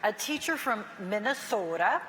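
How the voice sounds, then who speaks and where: A woman moderating a forum, reading out audience questions in a slow, playful way. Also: medium pitch, animated. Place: large hall with audience. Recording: echoey hall with crowd noise.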